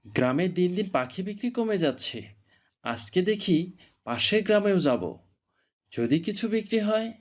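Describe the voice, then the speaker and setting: Guy voicing a young cartoon bird seller, high voice, earnest polite son, hopeful. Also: big pitch swings, normal speed, long pauses. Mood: patient, earnest. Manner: earnest, respectful. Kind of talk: cartoon voice acting.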